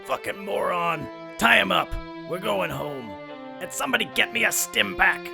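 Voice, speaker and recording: An actor playing a brutal, cruel raider boss in a post-apocalyptic audio drama, taunting and menacing in a gravelly voice. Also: male voice, high voice, normal speed. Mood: irritated, commanding. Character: brutal, foul-mouthed male wasteland raider boss, sneering, cruel. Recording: loud music over the voice.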